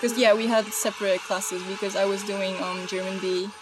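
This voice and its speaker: A woman chatting casually on a student podcast, often dropping in "yeah" and "like". Her pitch stays level. Clear background noise runs under her voice. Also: fairly even intonation, normal speed.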